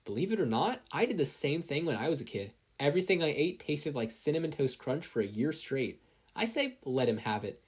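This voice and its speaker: A podfic reader voicing a brisk, confident woman who is a pro-hero mentor. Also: mid-range voice, big pitch swings, slightly rough, pitched above the performer's own voice. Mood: breezy, reassuring.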